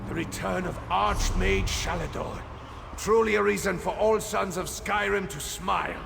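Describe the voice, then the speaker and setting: An actor voicing a proud fantasy warrior in a video game, with scripted, declaring lines. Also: male voice, high-pitched, measured pace, creaky, big-room sound, noisy background, heavily acted.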